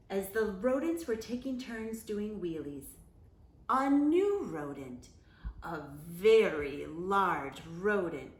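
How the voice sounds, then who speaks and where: A woman reading a children's picture book aloud in a deliberate, expressive storyteller's manner. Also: medium pitch, reverberant. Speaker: story time reader.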